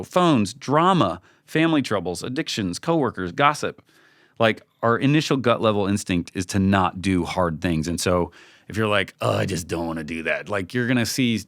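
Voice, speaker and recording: A man giving casual, conversational advice as a podcast guest, informal and halting, often acting out bits of imagined dialogue. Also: fairly low pitch, a bit bright, dry recording, studio quality. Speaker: podcast guest, creative team leader.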